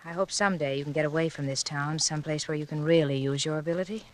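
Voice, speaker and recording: Actress playing a kind young teacher, gentle encouraging manner, old-time radio drama. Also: female voice, deep voice, steady tone, fast, no echo, close to an everyday voice. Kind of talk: 1940s radio acting.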